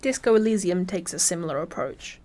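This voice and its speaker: A woman's voice: lowish voice, expressive, brisk, darkish tone. A woman reading scripted video essay narration in a wry, informal way.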